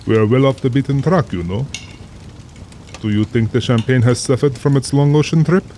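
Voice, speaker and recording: A man's voice: medium pitch, brisk, dark tone, slight background noise, natural voice. A man playing a cultured, proud general and big-game hunter in an audio drama, speaking formally and boastfully with polished self-assurance. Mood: genteel, hospitable. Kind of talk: full-cast audio drama acting.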